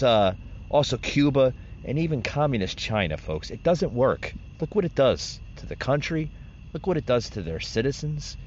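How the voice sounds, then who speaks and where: A man hosting an opinion podcast, talking emphatically and often calling his listeners folks. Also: mid-range voice. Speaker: podcast host. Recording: dull lo-fi sound with faint noise.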